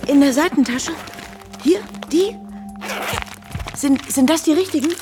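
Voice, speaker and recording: An actress playing a worried, caring friend in a German radio drama, speaking in natural dialogue. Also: female voice, sits high, unhurried, over music. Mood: urgent concern. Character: worried, caring friend tending a panicked woman.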